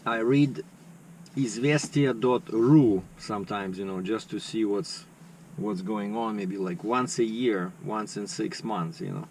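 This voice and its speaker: A man's voice: on the low side, fairly even intonation, a little slow, darkish tone. A man, chatting casually to camera and rambling with lots of fillers. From Russia. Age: 50s. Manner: rambling, conversational, with many fillers. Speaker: vlogger. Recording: slightly distant mic with faint background noise.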